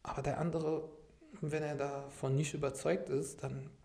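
A man's voice: fairly high pitch, little pitch movement, moderate pace, creaky, mellow, slight background noise. A man from Syria whose first language is Arabic, telling his story as a podcast guest in a rambling way, full of fillers and restarts. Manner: rambling, many fillers and restarts, "sag ich mal" habit. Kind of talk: casual conversational storytelling.

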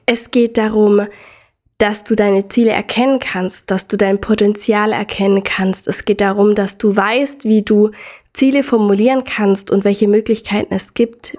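A young woman hosts a solo podcast in an upbeat, eager way, speaking directly to her listener. Her voice has a slight hoarseness from a lingering cold. She sits at a middle pitch for a woman. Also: a little mellow. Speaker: podcast host. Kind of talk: casual solo podcast talk.

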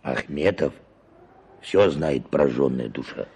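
Very deep, gravelly old peasant. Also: male voice, lively intonation, takes it slowly, mellow, exaggerated voice. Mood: aggrieved, resigned. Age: older. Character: weary old peasant forest watchman, lifelong bachelor, humble. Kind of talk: radio drama acting. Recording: clearly noisy radio play recording.

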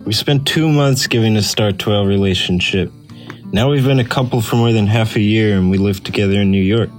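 Low-voiced man reading a personal essay. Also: fairly quick, faint music behind. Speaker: essay reader.

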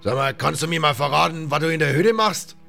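A man voicing a gruff, bossy pirate captain in an audiobook, barking orders in colloquial slangy speech. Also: fairly high pitch, animated, a little fast, pushed and bright, faint music behind, voice pushed up, full character voice. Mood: angry, commanding. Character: gruff, bossy one-eyed male pirate captain, middle-aged.

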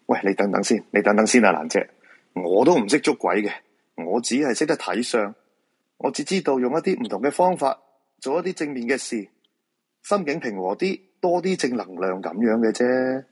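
An actor with a Hong Kong Cantonese accent playing a reluctant, brusque feng shui fortune-teller in a radio drama. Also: male voice, mid-range voice, animated, moderate pace, breathy edge. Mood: exasperated refusal. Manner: brusque, protesting, dismissive.

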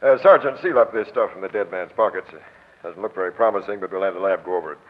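An actor playing a brusque, skeptical police captain in 1940s radio crime drama style, with gruff, slangy, sarcastic commands. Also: male voice, deep voice, quick pace. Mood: businesslike. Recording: tinny, band-limited old radio broadcast.

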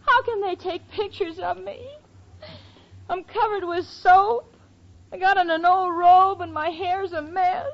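A woman's voice: extremely high pitch, fairly even intonation, unhurried. An actress playing an exasperated, sarcastic young woman in a 1940s radio comedy. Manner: dry, complaining, dramatic. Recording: dull old radio recording with studio audience.

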